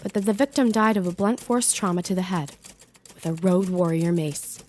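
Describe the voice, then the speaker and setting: A woman's voice: fairly low pitch, moderate pace, natural voice. A woman playing an earnest forensics chief on the witness stand in a comedy audio drama, speaking with matter-of-fact, slightly melodramatic authority. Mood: solemn, authoritative.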